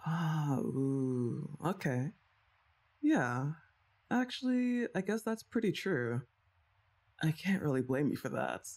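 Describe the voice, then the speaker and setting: A woman's voice: low voice, very animated, slow pace, pauses often, a little mellow, full character voice. A young woman voicing her best friend's older sister in an ASMR roleplay, chatting and teasing the listener and laughing often. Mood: playful. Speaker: voice actress.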